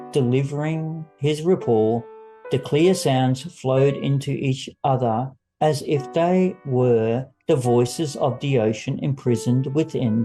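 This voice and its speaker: Male audiobook narrator: unhurried dramatic reading, mellow tone, faint music underneath. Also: medium pitch. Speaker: story narrator.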